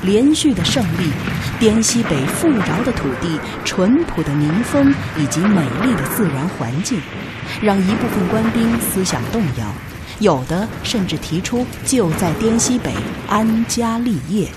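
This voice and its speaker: A woman's voice: mid-range voice, expressive, unhurried, heavy background noise. A woman giving formal, solemn scripted narration for a radio drama. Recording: loud music under narration.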